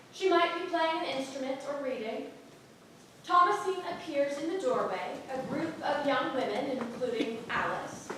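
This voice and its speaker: A woman's voice: high voice, a bit bright. A woman reading stage directions as narrator in a scripted staged play reading. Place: playhouse stage with live audience. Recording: distant mic, echoey hall, faint noise.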